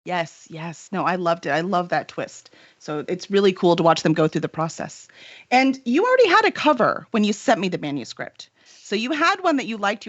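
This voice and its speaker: A woman's voice: on the low side, fairly bright tone, dry recording. A woman hosting a casual interview in an effusive, informal way, on slightly dull audio. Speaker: interviewer, publisher.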